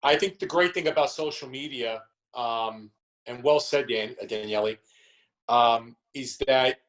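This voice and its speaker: A man's voice: medium pitch, a bit bright, rough recording. A man answering conversationally as an interview guest, feeling his way through phrases and circling back over them. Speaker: actor and theatre company member, interview guest.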